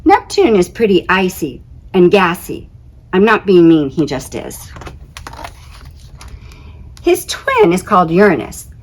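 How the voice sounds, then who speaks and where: A woman with a slightly low voice reads a story for children. Her delivery is conversational and very lively, rising and falling often as she goes. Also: unhurried, long pauses, slight background noise. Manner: conversational, expressive. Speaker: teacher reading a picture book aloud. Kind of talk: expressive children's story read-aloud.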